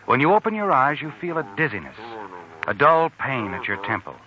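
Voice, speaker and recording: An actor playing an ominous, insinuating narrator of a 1940s radio suspense drama, speaking straight to the protagonist. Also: male voice, mid-range voice, big pitch swings, brisk, sharp tone, muffled recording, slight background noise. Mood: knowing, ominous. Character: knowing, ominous male narrator addressing the protagonist. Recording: thin old radio recording with music.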